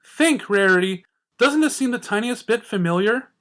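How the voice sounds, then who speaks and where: A man's voice: high voice, lively intonation, moderate pace, fairly bright tone, voice pushed up. A man voicing an earnest female pony in a story reading, patient but frustrated, reasoning emphatically. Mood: frustrated, pleading.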